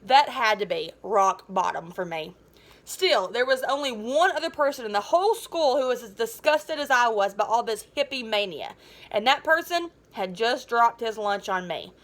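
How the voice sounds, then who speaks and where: A woman reading a children's novel aloud as a teacher, in an animated, dramatic and informal way. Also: a little high, moderate pace. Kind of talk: expressive children's book read-aloud.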